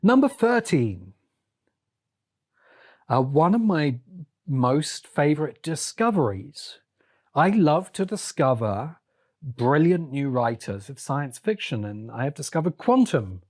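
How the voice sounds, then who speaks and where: A man hosting a solo podcast, giving opinionated commentary in deliberate, halting phrases with short bursts of emphasis. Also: a little high, very animated, darkish tone. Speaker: podcast host.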